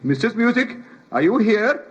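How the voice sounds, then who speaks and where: Husky, high-voiced indignant doctor. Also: male voice, very animated, normal speed, muffled recording, heavily acted. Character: evasive male foreign doctor, indignant, panicky murderer. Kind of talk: 1950s radio drama acting. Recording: reverberant old radio drama recording.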